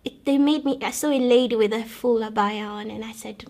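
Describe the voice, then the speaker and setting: A young woman from the Democratic Republic of Congo telling her own life story in an earnest, measured way, pausing and restarting often. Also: fairly high pitch, a little mellow. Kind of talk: personal testimony, recalled storytelling.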